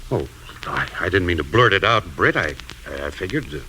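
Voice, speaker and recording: Deep, bright-toned frontier sheriff. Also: male voice, brisk, exaggerated voice. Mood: awkward, sorry. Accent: American. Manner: folksy, expressive. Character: hearty, kindly frontier sheriff. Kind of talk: 1950s radio western acting. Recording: slightly noisy 1950s radio recording.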